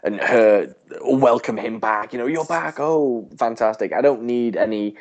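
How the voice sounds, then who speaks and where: A man co-hosting a casual podcast chat, his thoughts coming out halting and rambling, with chuckles along the way. His voice sits at a middle pitch. The recording sounds dull and lo-fi. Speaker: podcast co-host. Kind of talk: casual chat about a TV show.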